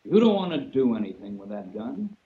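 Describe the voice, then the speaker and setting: An actor playing a burly asylum attendant in a 1940s radio drama, talking in a coaxing, soothing way. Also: male voice, mid-range voice, very animated, fairly quick, close to an everyday voice. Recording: dull old radio recording.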